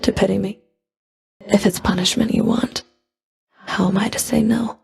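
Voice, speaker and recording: A woman's voice: medium pitch, fairly even intonation, moderate pace, vocal fry, a little mellow, soft background music. A woman playing a stern, scornful underworld fury in a video game, with a cold, sardonic, taunting delivery. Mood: scornful, threatening, then indignant, menacing. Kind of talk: scripted video game character dialogue.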